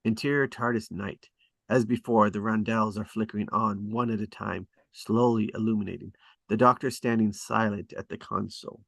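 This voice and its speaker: A man reading screenplay stage directions as scripted narration, with deliberate dramatic emphasis. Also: on the low side, steady tone, vocal fry, fairly bright tone, no room sound. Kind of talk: scripted narration at a table read.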